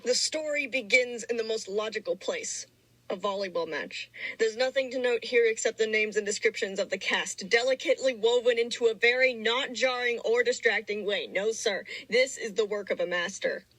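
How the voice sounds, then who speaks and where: A woman's voice: fairly high pitch, normal speed, a bit bright, no room sound, low-quality recording. A woman giving a mock-dramatic story reading with casual commentary, sarcastic and mock-grandiose in manner. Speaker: reader of own childhood fanfiction.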